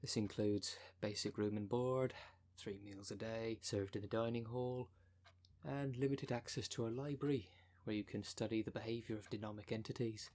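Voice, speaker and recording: A man playing a smooth-talking, con-artist female minister of a demon cult in an ASMR roleplay, with a polished, unctuous sales manner. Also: on the low side, steady tone, moderate pace, darkish tone, natural voice. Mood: businesslike sales pitch. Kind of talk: ASMR roleplay audio drama.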